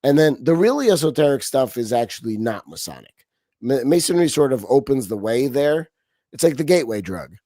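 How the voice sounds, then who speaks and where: A man's voice: mid-range voice, very animated, a little fast, dark tone, no echo, clean studio sound. A middle-aged man from Romania, a podcast guest talking casually and animatedly, with frequent restarts and fillers. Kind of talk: casual interview talk.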